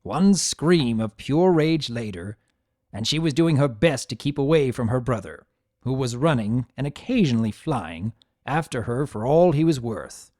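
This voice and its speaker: A narrator giving an expressive dramatic reading of a scripted story. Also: medium pitch, normal speed, dry recording, studio quality. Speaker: narrator of a fan fiction reading. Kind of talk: dramatic scripted narration in first-person character.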